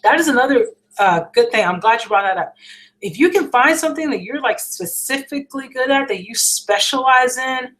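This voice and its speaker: A woman's voice: high-pitched, animated, fairly quick, fairly bright tone. An American woman with a slightly scratchy voice talks casually and earnestly to a livestream audience, encouraging them with informal, emphatic repetition. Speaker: musician hosting a livestream question and answer session. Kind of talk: casual encouraging chat, reading out viewer questions.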